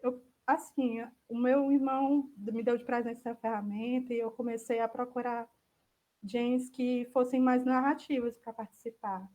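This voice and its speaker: A woman's voice: fairly high pitch, fairly even intonation, normal speed, dark tone, muffled recording. A woman with a Brazilian accent giving an informal online talk in a tentative, self-correcting way. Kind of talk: informal presentation. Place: indoors on an online video call.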